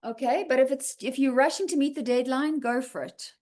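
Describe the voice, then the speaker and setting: A woman's voice: sits high, brisk, a little mellow. Chatty woman hosting a video call. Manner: chatty, reassuring, frequent "um" and "okay?" check-ins. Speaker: host of an online writing feedback session. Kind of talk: casual live chat reading and answering comments.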